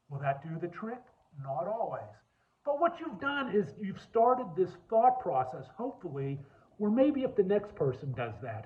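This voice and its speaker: A man with a deep voice giving an earnest personal talk, telling his story and repeating points for emphasis. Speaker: speaker on lawyer wellbeing. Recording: tinny phone-like line.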